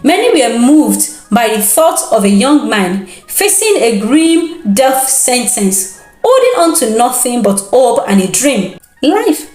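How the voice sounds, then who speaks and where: A woman narrating a scripted folktale as a storyteller, in an expressive, deliberate and dramatic manner. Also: fairly high pitch, reverberant, faint music behind. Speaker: storyteller, host.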